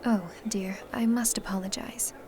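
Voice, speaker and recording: A woman's voice: medium pitch, fairly even intonation, normal speed, darkish tone, natural voice. A woman playing a refined vampire lady of a castle in an ASMR audio roleplay, speaking with cool, formal courtesy. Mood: wry, apologetic.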